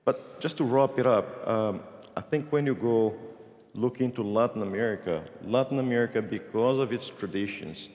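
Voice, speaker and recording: A man speaking as a panelist at a conference, laying out his points one by one in a measured way, with restarts and "gotta". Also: medium pitch, darkish tone. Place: conference stage in a large hall. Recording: long hall reverb from a conference stage.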